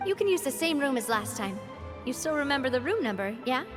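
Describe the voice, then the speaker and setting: A confident young woman in charge, cheerful and playfully teasing. Her voice is high-pitched, in a polished video game performance with music clearly behind it. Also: big pitch swings, fast.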